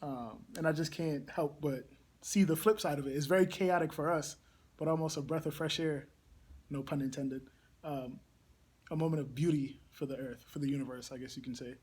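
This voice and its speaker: A young man with a somewhat higher, husky voice speaks in a casual interview. He reflects slowly and openly, often stopping with an um to gather his thoughts. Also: steady tone, darkish tone. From Barbados. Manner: thoughtful, pauses with frequent ums. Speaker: campus ministry engagement coordinator.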